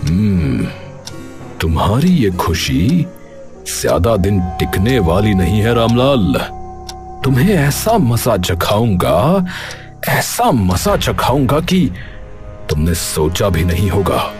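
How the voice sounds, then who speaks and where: A man's voice: on the low side, sing-song, music in the background. A man voicing a scheming village headman villain. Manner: slow, menacing, drawn-out villain delivery. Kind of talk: cartoon voice acting.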